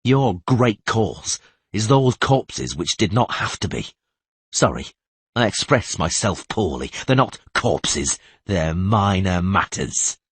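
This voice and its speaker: A man voicing a wounded monster hunter in a fantasy audiobook, slow, bitterly sarcastic and coldly angry. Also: low voice, big pitch swings, husky, no room sound, exaggerated voice. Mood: bitter anger. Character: wounded male monster hunter, bitter, sardonic, cold anger.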